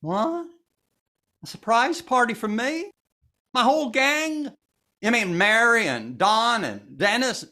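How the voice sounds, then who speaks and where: Vain comedian, whiny, bright, unsteady pitch, slow, faint noise. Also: male voice, lively intonation, full character voice. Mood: touched. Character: vain, excitable middle-aged male comedian. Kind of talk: 1950s radio comedy acting.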